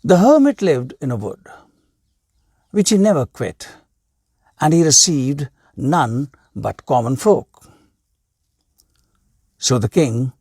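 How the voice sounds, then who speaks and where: A man reading a tale aloud as a scripted narration, deliberately, with pauses between short phrases. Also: medium pitch, vocal fry, a little mellow, slightly rough, no room sound, clean studio sound.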